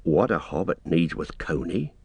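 Male reader as stubborn hobbit servant, gruff, homely, rustic phrasing, scolding, protective, dry storybook recording. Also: low voice, lively intonation, normal speed, darkish tone, no echo, voice pushed down, full character voice. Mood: homely, coaxing.

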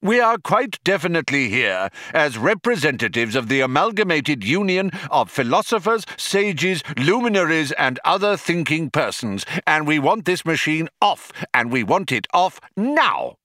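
A man's voice: fairly high pitch, big pitch swings, normal speed, few pauses, sharp tone, no room sound, studio quality, voice pushed up. A man voicing a pedantic, blustering older union philosopher with belligerent, indignant shop-steward talk.

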